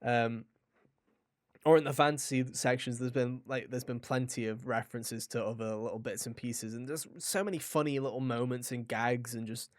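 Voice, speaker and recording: A man co-hosting a gaming podcast, chatting casually and enthusiastically in an animated, rambling way. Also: medium pitch, moderate pace, no room sound, studio quality. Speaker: podcast co-host reviewing a video game.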